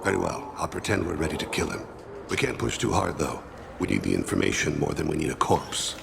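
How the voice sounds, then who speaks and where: A man playing a calm, solemn alien assassin in a video game, with a gravelly voice and formal, deliberate phrasing. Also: background noise, exaggerated voice. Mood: composed. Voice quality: deep gravelly rasp.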